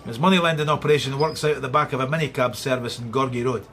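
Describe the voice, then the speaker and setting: An actor playing a sardonic, blunt Scottish police inspector in a radio drama. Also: male voice, mid-range voice, steady tone, rapid-fire, fairly bright tone, close to an everyday voice. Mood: dry briefing. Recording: faint background noise.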